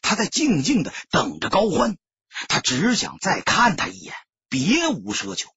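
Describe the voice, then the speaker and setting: A man's voice: high voice, wildly swooping pitch, measured pace, sharp tone, slightly rough, dry recording, lo-fi sound. A man narrating an audiobook as scripted dramatic storytelling, solemn and emotive.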